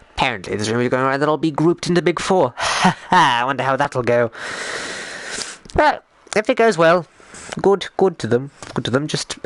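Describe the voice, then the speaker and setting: A man voicing a genteel, bumbling 1920s English gentleman, rambling in old-fashioned posh phrases on a live stream, hesitant and repeating himself. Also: medium pitch, no room sound, natural voice. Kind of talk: in-character live stream chat while gaming.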